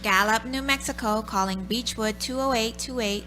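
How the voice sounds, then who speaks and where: A woman's voice: on the high side, moderate pace, pushed and bright, slight background noise. A woman in an old-time radio play playing a businesslike long-distance operator with singsong switchboard formality.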